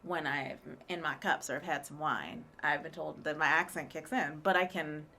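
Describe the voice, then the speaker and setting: A woman co-hosting a live show, talking in a chatty, expressive way. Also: low voice. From rural area. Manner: chatty, expressive, quick to laugh. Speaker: co-host and actor. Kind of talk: casual live show chat.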